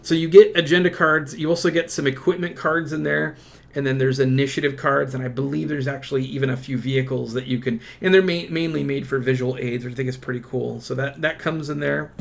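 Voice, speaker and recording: A man with a creaky, gravelly voice giving a casual product walkthrough in a halting, self-interrupting way. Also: medium pitch, steady tone. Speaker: unboxing presenter.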